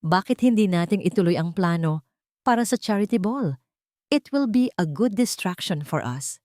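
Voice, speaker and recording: A woman acts out a high-society wife in an audio drama, all affectionate sweetness over a scheming nature. The manner is breezy and innocent, the sound dry. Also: animated, moderate pace, darkish tone, no room sound, natural voice. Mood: sweetly affectionate.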